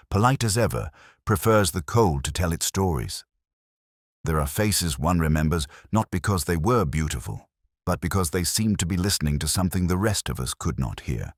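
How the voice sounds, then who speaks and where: A man narrating a scripted first-person detective story in a measured, literary, reflective manner. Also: deep voice, no room sound, clean studio sound.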